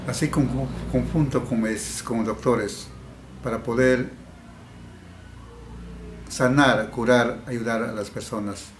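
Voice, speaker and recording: Slow, halting man in echoey room. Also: mid-range voice, fairly even intonation. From Peruvian Amazon. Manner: halting, with frequent restarts and repeated words. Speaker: healer interviewee. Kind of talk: interview answers. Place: indoor room. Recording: echoey room, faint background hiss.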